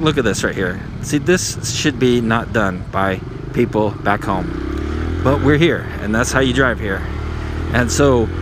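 A middle-aged man giving casual riding tips while he rides a motorcycle outdoors, easygoing and chatty, often tagging his sentences with "right?", recorded on an action camera. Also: medium pitch. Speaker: motovlogger. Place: on a motorcycle in city traffic outdoors. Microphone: helmet or handlebar action camera. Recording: street and engine noise behind.

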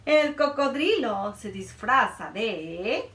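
Slow, lively woman reading a storybook. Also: a little high, echoey room, recorded from a distance. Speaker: children's librarian. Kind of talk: reading a picture book aloud to children. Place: small room indoors, before a board.

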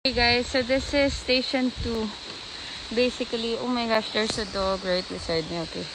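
A woman's voice: fairly high pitch, fairly even intonation, normal speed. A woman chats casually and exclaims as she vlogs, mixing English and Tagalog, on a phone outdoors. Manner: chatty, exclamatory, switches between English and Tagalog. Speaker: vlogger and mother. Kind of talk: casual vlog chat to camera and family. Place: outdoor beach. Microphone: handheld phone at arm's length. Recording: noisy outdoor phone recording.

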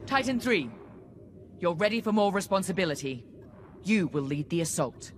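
An actress playing a commanding, businesslike squadron leader, giving a crisp, formal mission briefing in a video game. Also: female voice, fairly low pitch, expressive, moderate pace, a little hiss or hum, natural voice. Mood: firm command.

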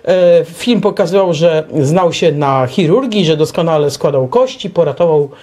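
A middle-aged man with a husky voice and lively, swinging intonation. He explains things as a talk show host, pausing and hesitating as he builds his point. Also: fairly high pitch. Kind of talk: opinionated explanatory monologue.

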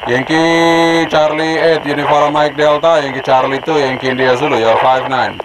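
A man's voice: a little high, steady tone, a little slow. A middle-aged man with an Indonesian accent making ham radio satellite calls outdoors, spelling call signs in the phonetic alphabet. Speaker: amateur radio operator. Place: outdoors on a rooftop. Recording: loud background noise, radio static outdoors. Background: loud ambient and radio noise.

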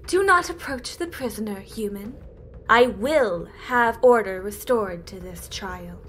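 Inhuman female judge, woman actor: stern, slow, high voice, formal diction, slight background noise. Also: lively intonation, lightly acted. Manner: formal, commanding, clipped declarations.

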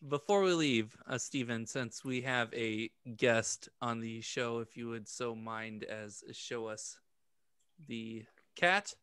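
A man's voice: medium pitch, steady tone, takes it slowly, a bit bright, clean tone, dry recording. Young guy cohosting a podcast, takes his time talking, dry close mic, chatty. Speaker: podcast co-host. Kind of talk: casual podcast banter. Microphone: desk microphone with headphones, video call.

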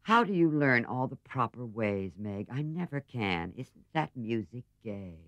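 A woman's voice: a bass voice, sing-song, unhurried, fairly bright tone, dry recording, muffled recording, heavily acted. A woman voicing a blunt, careless teenage tomboy sister in a storybook, offhand in manner. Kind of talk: storybook character reading.

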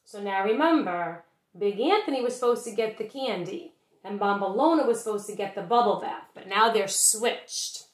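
A middle-aged woman reading a picture book aloud to young children in an expressive teacherly way, pausing to address her listeners. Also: medium pitch. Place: small bedroom, indoors. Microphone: webcam or laptop at arm's length. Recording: roomy home webcam recording.

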